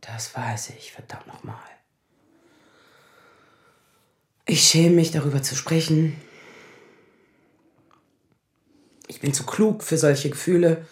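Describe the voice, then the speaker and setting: A woman playing a sharp-tongued, anxious lawyer in her thirties in a naturalistic radio drama, sarcastic and defensive. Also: sits low, moderate pace, roomy sound, lightly acted. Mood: defensive, agitated. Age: 30s.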